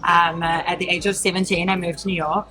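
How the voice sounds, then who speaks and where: A woman's voice: lowish voice, level tone, quick pace, pushed and bright, faint music behind, rough recording. A woman in her thirties with a New Zealand accent, chatting as an interview guest with many fillers and frequent laughs. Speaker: guest, entrepreneur. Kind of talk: casual interview talk.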